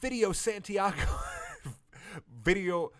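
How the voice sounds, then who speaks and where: Young man, sarcastic profane riffing, lively swooping pitch, dry close mic. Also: slow pace, no echo. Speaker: host reading fan fiction. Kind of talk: comedic reading with riffing asides.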